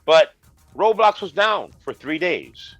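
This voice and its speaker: A congested man co-hosting a gaming news chat, making dry, deadpan jokes as he explains the news. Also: fairly high pitch, slow, no echo, soft background music. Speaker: co-host, retro gaming specialist.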